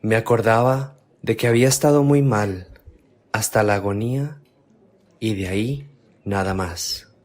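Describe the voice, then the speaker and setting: A man's voice: fairly low pitch, measured pace, a little mellow, natural voice. An actor playing an earnest young man raised from the dead in a devotional radio drama, speaking emphatically.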